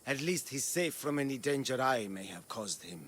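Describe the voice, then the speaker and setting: An actor playing a guilt-ridden, shaken old caretaker who speaks slowly and haltingly after torture. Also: male voice, mid-range voice, lively intonation, a bit bright, slight background noise, close to an everyday voice. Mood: grieving. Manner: slow, halting, mournful. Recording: orchestral game score underneath.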